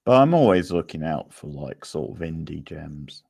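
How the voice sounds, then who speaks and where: A man hosting a livestream quiz, chatting casually in an unhurried, rambling way as he replies to viewers. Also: very deep voice, very animated, vocal fry, a little mellow, husky, no room sound, clean studio sound.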